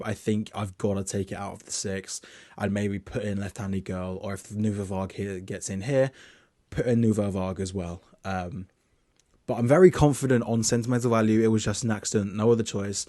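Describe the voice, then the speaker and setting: A chatty young man with a lowish voice, walking through a list of award picks in a loose, casual way, often restarting his sentences. His voice sounds dry and close, with no room around it. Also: mellow, dry recording. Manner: reads his list off notes. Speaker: film awards vlogger. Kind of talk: casual spoken predictions.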